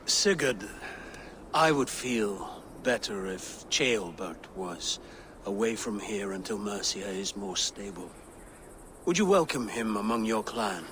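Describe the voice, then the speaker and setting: A solemn middle-aged king from a video game, formal and weary. He speaks slowly, with a pitch that rises and falls freely. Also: expressive, a bit bright, a little hiss or hum. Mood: concerned, fatherly. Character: grateful newly crowned middle-aged king, weary father. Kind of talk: video game cutscene acting.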